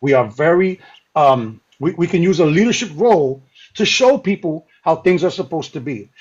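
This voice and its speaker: A man's voice: a little high, husky. A man speaking in an impassioned group discussion, emphatic, repeating his points and checking in with "right?". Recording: dull, lo-fi call audio.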